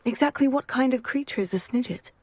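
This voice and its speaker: An actress playing a composed, sympathetic teenage student witch in a video game cutscene, speaking in a measured, polite way. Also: high voice, a little mellow, no echo. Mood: curious, measured.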